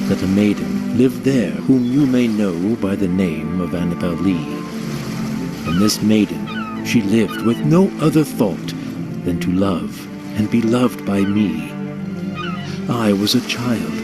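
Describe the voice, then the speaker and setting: A man narrating a poem as a dramatic audio-theatre recitation, with music playing behind him. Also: lowish voice. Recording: produced audio with loud music bed. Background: loud music.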